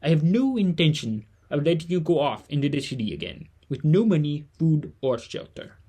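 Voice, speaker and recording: An actor playing a kindly, earnest old mentor in a family audio drama, speaking in formal, deliberate phrases. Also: medium pitch, sing-song, warm tone, slightly rough. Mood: earnest, measured.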